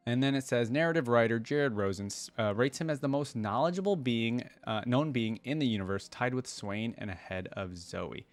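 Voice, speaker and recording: A man hosting a reaction video in an informal way, reading text aloud and thinking out loud about it. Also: mid-range voice, moderate pace, hardly any pauses. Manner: informal, thinking aloud between read passages. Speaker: reaction channel host.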